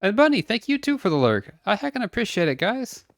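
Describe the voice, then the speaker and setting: A man's voice: high voice, very animated, brisk, no echo. Chatty man streaming a game. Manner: chatty, laughs often, greets viewers brightly. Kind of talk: casual livestream chat.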